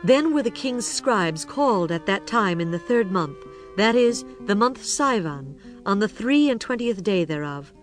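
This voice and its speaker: A woman reading scripture as a narrator, in a formal, measured storytelling manner. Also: mid-range voice, lively intonation, soft background music.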